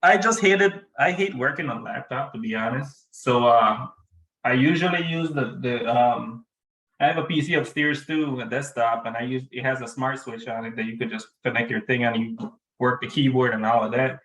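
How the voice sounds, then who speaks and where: A man's voice: medium pitch, normal speed, a bit bright. A man, a student in an online class, chatting in a rambling, easygoing way over a video call. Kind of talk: casual chat. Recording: roomy echo on a video call.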